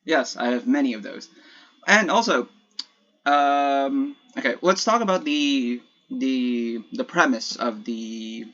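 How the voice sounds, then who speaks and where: A man's voice: medium pitch, fairly bright tone. Hesitant young man, slightly muffled mic. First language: Ilocano. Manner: hesitant, many restarts and self-corrections, laughs at himself. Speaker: solo podcaster playing a block-building game. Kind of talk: casual rambling commentary while gaming.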